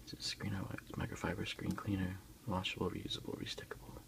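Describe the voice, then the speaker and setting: A man softly whispering in a breathy voice as he casually hosts an ASMR unboxing, unhurried and a little hesitant, recorded on a phone. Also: deep voice, little pitch movement. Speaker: ASMR video host.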